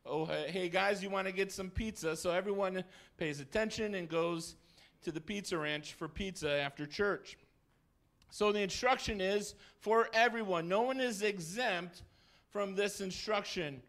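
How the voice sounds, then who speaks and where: A man's voice: sits high, fairly bright tone. A man preaching a sermon to a congregation in a plain-spoken, conversational way, using short, simple phrases. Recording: roomy echo, distant mic, faint noise.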